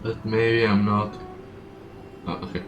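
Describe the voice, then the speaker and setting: A man's voice: lowish voice, monotone, a little slow, lo-fi sound, a little hiss or hum. A man streaming a video game, reading along and reacting casually in a joking, hesitant way. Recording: echoey room, faint game music.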